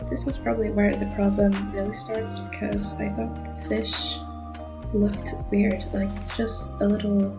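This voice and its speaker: Young woman, non-native English accent, mumbling monotone narration, slow pace, music underneath. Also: medium pitch. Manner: nervous, mumbling, laughs often. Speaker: art channel creator. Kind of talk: casual voiceover narrating a drawing.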